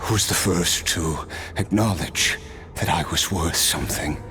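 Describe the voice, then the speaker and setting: An actor playing a weary, solemn ancient noble swordsman spirit in a video game, speaking in a measured, reflective storytelling manner. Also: sits low, bright, forceful tone. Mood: somber, nostalgic.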